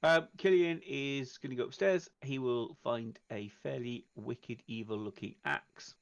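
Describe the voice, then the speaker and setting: Male tabletop player, fry-laden medium voice, casual narration, muffled mic. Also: mid-range voice, steady tone, measured pace. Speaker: player in a tabletop roleplaying stream.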